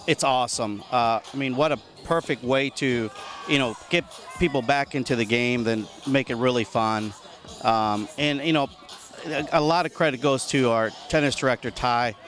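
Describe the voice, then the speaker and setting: A man's voice: medium pitch, normal speed, fairly bright tone, soft background music. A man at an outdoor tennis event, cheerful and using plenty of fillers.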